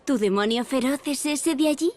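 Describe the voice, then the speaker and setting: A woman's voice: high-pitched, moderate pace, crowd noise, close to an everyday voice. A woman voicing a haughty, prickly teenage witch rival with a Castilian Spanish accent in a cartoon dub. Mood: haughty, annoyed. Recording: music behind the voice.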